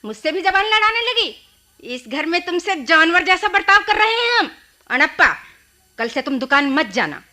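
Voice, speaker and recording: Shrill, scolding mother-in-law. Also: sing-song, normal speed, bright, forceful tone, heavily acted. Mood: indignant, scornful. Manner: shrill, indignant scolding with exclamations. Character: scolding, shrewish mother-in-law defending her son. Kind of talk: Hindi radio drama acting.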